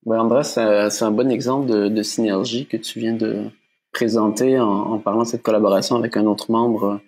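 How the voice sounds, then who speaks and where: A man hosts a panel over a video call in a measured, formal manner. His voice is husky and sits a little low. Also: steady tone, a little mellow. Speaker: host. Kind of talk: panel moderation.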